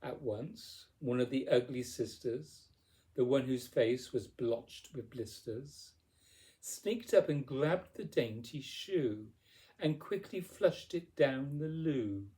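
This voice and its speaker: Slow, mellow man reading verse dramatically. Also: mid-range voice, lively intonation. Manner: theatrical, deliberate phrasing. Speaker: poetry reader. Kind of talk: dramatic storybook verse narration.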